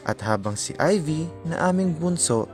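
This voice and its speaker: A man's voice: a little high, animated, moderate pace, natural voice. A man playing a radio DJ, reading a listener's heartfelt letter as dramatic narration over background music. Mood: earnest, heartfelt. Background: clear background music.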